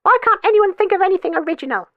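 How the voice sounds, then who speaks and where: Man's falsetto grumpy lady commentator. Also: animated, very fast, no room sound, narrow, tinny audio. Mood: dismissive. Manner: dismissive, tutting. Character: grumpy woman, old-fashioned social commentator.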